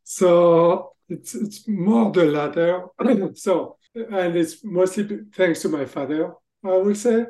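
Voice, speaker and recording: A middle-aged man from France speaks English with a clear French accent. His voice is a little higher than most men's yet dark and mellow in tone. He answers in a casual, halting way, often restarting his sentences. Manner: hesitant, restarts phrases, laughs between thoughts. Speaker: guest, tech executive.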